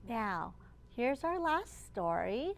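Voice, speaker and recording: Slow, high-voiced woman reading storytime. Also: big pitch swings, gravelly. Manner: slow, encouraging, asks listeners simple questions. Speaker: children's librarian leading storytime. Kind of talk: read-aloud storytime for young children.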